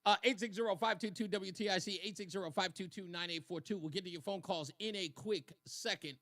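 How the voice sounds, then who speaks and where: A man hosts a radio talk show in an easy, joking way, laughing between lines. His voice sits high and rings bright. The studio sound is dry and close. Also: a little fast, dry recording. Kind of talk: casual on-air chat.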